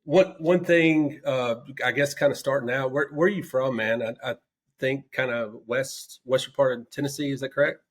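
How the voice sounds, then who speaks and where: A man's voice: medium pitch, steady tone, normal speed. A man in his forties from Mississippi co-hosting a podcast, laid-back and enthusiastic, often saying "man". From Mississippi, United States. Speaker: podcast co-host. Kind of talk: casual podcast chat.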